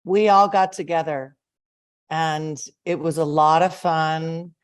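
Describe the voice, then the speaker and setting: A woman's voice: low voice, fairly even intonation, slow pace, dry recording. A woman chatting casually as an interview guest, effusive and appreciative in her manner. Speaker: band member, guest.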